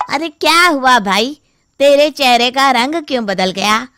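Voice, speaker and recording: A woman's voice: high-pitched, expressive, unhurried, pushed and bright, clear, resonant tone, no room sound, clean studio sound, soft background music, exaggerated voice. A woman voicing a greedy, brash young man who wheedles, in a moral horror story. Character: greedy, cocky young man, easily scared sidekick. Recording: noisy, clicks in background.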